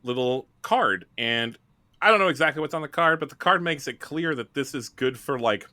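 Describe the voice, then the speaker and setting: A man's voice: mid-range voice, animated, moderate pace, pushed and bright, dry recording. A man playing a tabletop roleplaying game, casually improvising scene narration.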